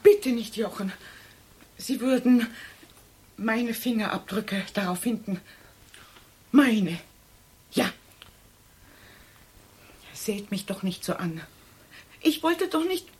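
A woman's voice: a little high, darkish tone, husky, heavily acted. An actress playing an anxious, cornered woman suspect in a radio crime drama, pleading and defensive in a faltering way. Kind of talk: German radio crime play acting.

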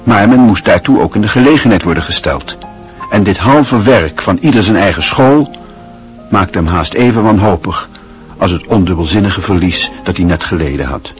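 A man narrating a literary radio drama in a measured, grave, reflective way. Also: low-pitched, expressive, faint music behind.

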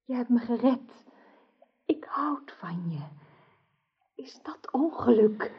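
An actress playing a lovesick, yearning young noblewoman with a breathy, hushed voice in a radio drama. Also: fairly high pitch, animated, slow pace, full character voice. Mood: tender, yearning. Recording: dull lo-fi old radio recording.

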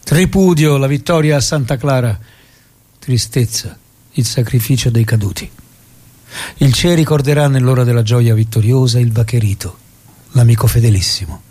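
An actor playing a solemn chronicler of the revolution, narrating in a declamatory, elegiac style. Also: male voice, medium pitch, normal speed, darkish tone, slightly rough, lightly acted. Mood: elegiac, epic. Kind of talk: dramatic scripted narration.

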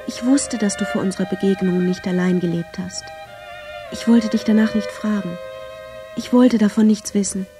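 A woman playing a tender, lovestruck young violinist of the 1910s, reading her love letters in a measured, yearning radio drama style. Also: medium pitch, close to an everyday voice. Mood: wistful, devoted. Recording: clear violin music under the voice. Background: violin music.

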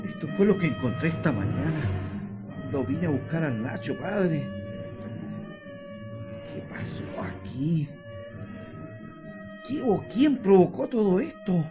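A distraught father from a mining town in a radio play, acted by a man, with a Chilean accent. His voice sits fairly high for a man. He is fearful and earnest, stumbling over his words. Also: big pitch swings, takes it slowly, long pauses, highs cut off, music in the background. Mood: shaken. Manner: agitated, stammering, earnest. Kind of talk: radio drama acting.

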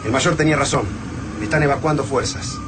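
Man as blunt Argentine mechanic-fighter, radio play, brisk speech, noisy rumble behind. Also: steady tone, natural voice. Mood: grumbling. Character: plain-spoken, grumbling male mechanic turned fighter.

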